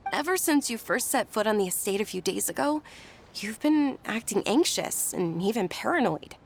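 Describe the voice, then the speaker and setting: A woman's voice: a little high, slight background noise. A woman playing a sweet, insistent young noblewoman in an anime game, speaking politely and earnestly, with a pleading edge.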